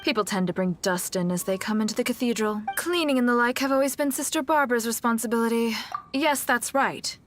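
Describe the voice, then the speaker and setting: Game role: polite adult nun voiced by a woman, somewhat high pitch, courteous, measured and caring. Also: a bit bright, slight background noise, lightly acted. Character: polite, gentle, concerned adult church nun. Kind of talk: video game voice acting. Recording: game music behind the voice. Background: game music.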